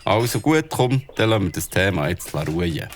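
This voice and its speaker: A steady Swiss dad. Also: male voice, low-pitched, normal speed, a little hiss or hum. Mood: calm. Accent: Swiss German. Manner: earnest, steady, fatherly. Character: kind but worried father. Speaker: father character. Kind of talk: children's audio drama acting.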